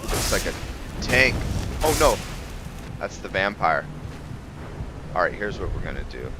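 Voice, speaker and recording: A man's voice: low-pitched, sing-song, measured pace, noisy background. A man giving casual, laid-back commentary as he plays a video game, thinking aloud. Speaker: gamer narrating his playthrough. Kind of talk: casual gameplay commentary with roleplay.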